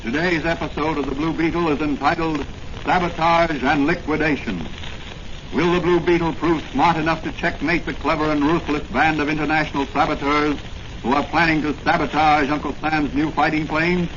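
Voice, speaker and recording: A man's voice: fairly high pitch, steady tone, normal speed. A man narrating a 1940s radio serial as its announcer, formal, dramatic and grandiose. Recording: noisy, muffled old radio recording.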